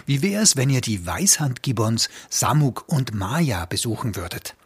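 A man playing a calm, reassuring father squirrel in a children's audio drama, husky and soothing. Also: medium pitch, exaggerated voice. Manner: soothing, unhurried.

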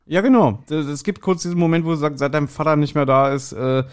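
A man's voice: a little high, fairly even intonation, fast talker, clean tone. A man co-hosting a podcast, chatting casually as he reviews audio dramas and acts out short quotes. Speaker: podcast co-host.

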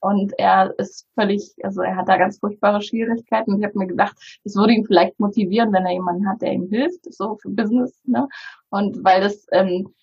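Woman, 30s, native Bulgarian speaker, husky and hesitant, muffled lo-fi line. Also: mid-range voice, fairly even intonation, fairly quick. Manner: hesitant, many fillers and restarts. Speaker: interview guest. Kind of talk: casual interview talk.

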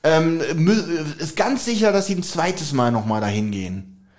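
A man's voice: on the high side, very animated, normal speed, a little mellow. A young man co-hosting a casual podcast, joking as he recaps a radio play and briefly acts out its characters. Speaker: podcast co-host.

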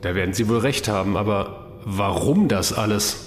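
A man playing a brisk, practical explorer in a German science-fiction audio drama, urging his companions along. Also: fairly low pitch, natural voice. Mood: puzzled. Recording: faint background noise.

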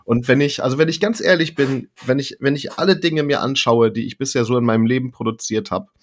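A man's voice: mid-range voice, fast, a little hiss or hum. Man chatting casually in rambling style. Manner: rambling, self-interrupting, repeats phrases for emphasis. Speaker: podcast guest, poetry slammer and author. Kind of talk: casual interview chat.

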